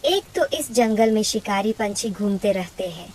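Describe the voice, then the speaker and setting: A woman voicing a brash, scheming, sharp-tongued female bird in a cartoon story. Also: mid-range voice, expressive, moderate pace, close to an everyday voice. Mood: scolding. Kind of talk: Hindi cartoon story acting.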